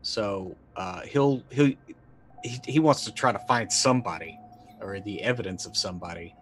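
A man's voice: lowish voice, moderate pace, slight background noise, rough recording. A middle-aged man playing a tabletop roleplaying game, narrating casually and thinking aloud in hesitant bursts. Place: indoor room, on a video call.

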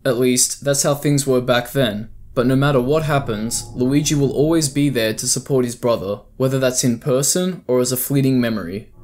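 A man's voice: mid-range voice, normal speed, hardly any pauses, darkish tone. A man reading scripted explanatory narration for a video essay, as its narrator.

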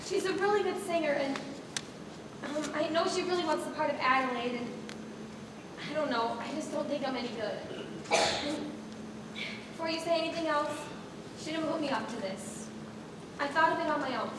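A woman's voice: sits high, moderate pace, long pauses, natural voice. A teenage girl playing an insecure, self-doubting schoolgirl in an amateur stage play, recorded from the audience. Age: teens. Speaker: high school student actor. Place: theater stage in large auditorium. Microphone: far camera in audience. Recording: echoey auditorium, distant camera, noisy room.

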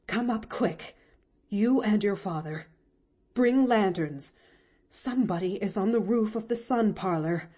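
A woman reading aloud, voicing a stern middle-aged spinster aunt who speaks in sharp, clipped commands. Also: medium pitch, unhurried, husky. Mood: frightened, urgent.

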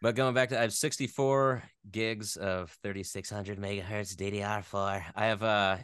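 A man with a fairly low, clean and resonant voice talks casually as an interview guest. He feels his way through sentences in a rambling, geeky, enthusiastic manner. The sound is dry, with very little room on it. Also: fairly even intonation, normal speed, a bit bright, clear, resonant tone, no room sound. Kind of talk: casual chat.

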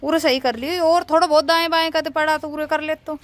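A woman's voice: high voice, steady tone, fairly quick. A middle-aged woman with a Haryanvi accent chatting casually and giving instructions in a home vlog. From rural Haryana, India. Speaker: family vlogger, mother. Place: village house and open courtyard. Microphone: handheld phone.

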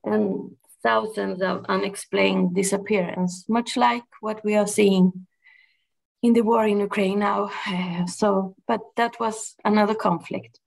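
A middle-aged woman from Argentina with a Spanish accent, a gardener speaking thoughtfully to an audience, pausing and restarting often. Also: medium pitch, fairly even intonation, vocal fry, low-quality recording. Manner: thoughtful, halting with frequent restarts and fillers. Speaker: gardener and project manager giving a talk. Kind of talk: personal talk to an audience.

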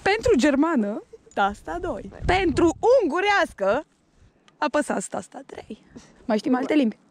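A woman's voice: sits high, sing-song. A young woman vlogging in casual, joking chat with a friend on a snowy mountain, teasing, laughing often and swearing. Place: snowy mountain meadow outdoors. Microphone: action camera held at arm's length.

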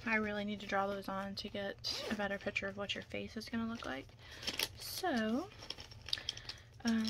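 A young woman doing an ASMR roleplay as a makeup stylist, speaking softly and breathily, often in a whisper, with reassuring asides. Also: on the high side. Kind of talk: ASMR roleplay, close personal attention. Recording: close-up with clear background noise.

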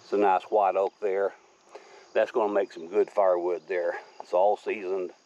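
A man's voice: low-pitched, little pitch movement, moderate pace, dark tone, a little hiss or hum. Easygoing man on his land. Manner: easygoing, rambling, folksy. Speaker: homesteader showing his land. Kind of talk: casual walk-around narration. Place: outdoors on rural wooded land. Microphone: handheld camera. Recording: slightly dull outdoor camera audio. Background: crickets and insects.

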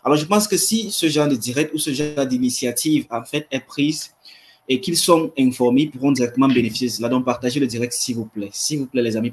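A man's voice: mid-range voice, darkish tone. A man, hosting a casual livestream on a video call with enthusiasm and repeating phrases for emphasis. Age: 20s. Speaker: livestream host. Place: indoor room with wood-panelled wall. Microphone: webcam video call.

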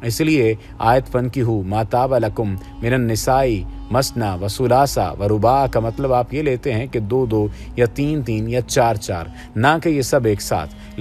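A man reading scripted religious narration in a measured, formal, preaching manner. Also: mid-range voice, a little mellow. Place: voice-over over stock footage with music.

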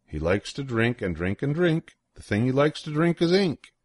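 A man's voice: mid-range voice, sing-song, moderate pace, darkish tone, no room sound. An older man reading a children's rhyming picture book aloud in a playful, sing-song way with swooping emphasis. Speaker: storybook reader.

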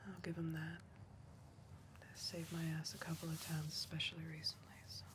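A woman acting as a young backwoods niece in an ASMR roleplay, whispering in a lowish voice. She is dry, needling and scornful, close to the mic with clear hiss behind. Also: monotone, moderate pace, close to an everyday voice. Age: young adult. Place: dim indoor room, seated close to the mic.

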